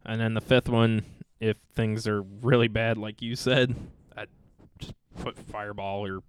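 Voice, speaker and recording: A man's voice: lowish voice, flat delivery, unhurried, a little hiss or hum. A man co-hosting a casual joking podcast, crude and teasing, swearing freely and sometimes stammering over repeated words. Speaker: podcast co-host.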